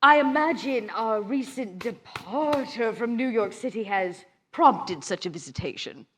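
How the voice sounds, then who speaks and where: A woman's voice: on the high side, lively intonation, unhurried. A woman playing a poised, teasing hundred-year-old vampire negotiator in a live tabletop roleplaying game. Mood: placating. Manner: teasing, self-assured, diplomatic. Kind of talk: improvised tabletop roleplay before a live audience.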